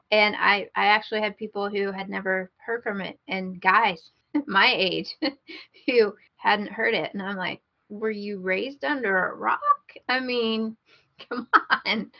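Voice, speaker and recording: Female podcast co-host, mid-pitched, informal and wry, slightly lo-fi online-call sound. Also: steady tone, moderate pace, vocal fry. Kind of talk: casual podcast chat. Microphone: internet call recording.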